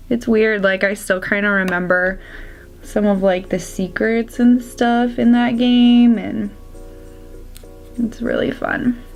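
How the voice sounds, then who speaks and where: A young woman with a slightly congested, raspy voice chatting casually through a makeup tutorial. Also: fairly high pitch. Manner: chatty, drops to soft whispers at times. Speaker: beauty vlogger.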